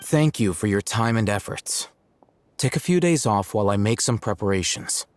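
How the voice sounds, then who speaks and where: A man playing a calculating young scholar in an anime game, speaking in a measured, dryly understated way. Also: fairly low pitch, lively intonation, dry recording, lightly acted. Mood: calculating, calm. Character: composed, calculating young male scholar, cool and dry.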